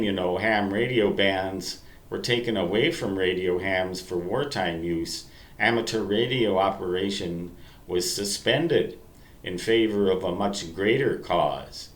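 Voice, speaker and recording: An older man reminisces in a roomy, echoing space. His voice sits on the low side, and he takes his time with a deliberate delivery, stressing key words as he wanders through his story. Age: 60s. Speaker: amateur radio hobbyist telling reminiscences. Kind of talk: rambling storytelling monologue to listeners. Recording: roomy, echoey sound.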